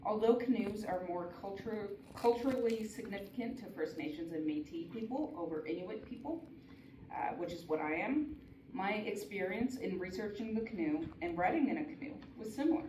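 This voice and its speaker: A woman's voice: mid-range voice, expressive, moderate pace. A woman giving a prepared, earnest talk at a conference, with small restarts. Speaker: conference speaker. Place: conference hall. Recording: echoey hall from far off, noisy.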